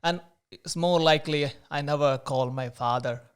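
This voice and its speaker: Tamil-accented man with a smooth voice. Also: on the high side, moderate pace. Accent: Tamil Indian. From Tamil Nadu, India. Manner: hesitant, many fillers and restarts. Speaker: guest, religious brother in training. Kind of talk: casual interview chat.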